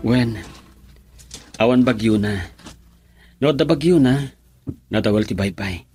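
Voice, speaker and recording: A troubled young grandson in a radio drama. His voice has an ordinary male pitch and is recorded close, with no room sound. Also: a little slow, darkish tone, husky, no echo, soft background music. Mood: puzzled. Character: troubled young grandson fed up with quarrelling parents.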